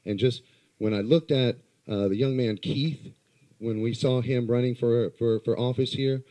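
A man in his thirties from Los Angeles with a low voice, giving an earnest, halting speech into a handheld mic at a small indoor political meeting. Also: fairly even intonation, dark tone. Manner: earnest, halting with many fillers. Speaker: community organizer. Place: small crowded indoor campaign office. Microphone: handheld microphone.

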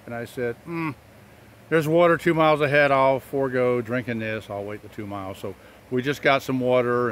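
A man talking casually to his phone camera while hiking outdoors on a trail, relaxed and chatty. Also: medium pitch. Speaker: hiker vlogger. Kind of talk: casual hiking vlog narration. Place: outdoors on a wooded trail and a road bridge. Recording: faint traffic noise outdoors.

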